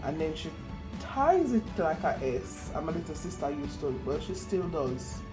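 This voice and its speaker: Low-voiced young Jamaican woman, teasing. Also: moderate pace, reverberant. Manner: animated, teasing storytelling in Patois. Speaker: cooking vlog co-host, the daughter. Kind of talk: casual kitchen chat. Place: home kitchen. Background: music.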